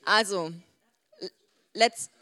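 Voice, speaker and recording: A young woman in her twenties gives an informal talk to a group. She has a Swiss German accent and a mid-pitched voice. Her manner is chatty, with English words mixed into her sentences. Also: very slow, bright, forceful tone. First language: Swiss German dialect. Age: 20s. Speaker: youth group speaker.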